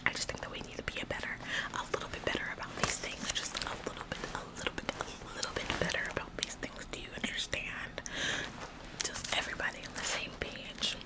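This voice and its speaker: A woman's voice: fairly quick, lightly acted, poor audio. A woman playing an officious, nitpicking office employee who acts like the boss in a whispered ASMR roleplay, nagging repetitively with condescending, faux-polite phrasing. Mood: passive-aggressive nagging.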